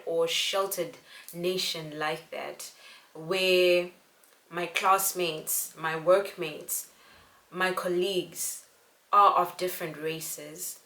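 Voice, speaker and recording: A young woman from Zimbabwe, telling a personal story to camera in an earnest, unhurried way. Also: on the low side, a bit bright. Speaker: vlogger. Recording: echoey room.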